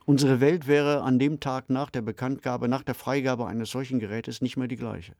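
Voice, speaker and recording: A man co-hosting a podcast discussion with a creaky voice, engaged, questioning and emphatic. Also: medium pitch, steady tone, normal speed, darkish tone, no room sound, studio quality. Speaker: podcast co-host. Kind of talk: conversational discussion.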